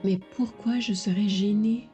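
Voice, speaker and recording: A woman's voice: medium pitch, steady tone, normal speed, warm tone, muffled recording, faint music behind. A woman streamer giving casual, playful, informal live commentary on a game.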